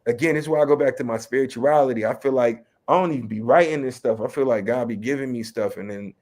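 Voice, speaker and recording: A man's voice: medium pitch, quick pace, a little mellow. Chicago west side guy w a bit of new york in his accent, easygoing, keeps saying you know what i mean. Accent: Chicago West Side, slight New York. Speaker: rapper and educator, guest. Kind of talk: casual interview talk.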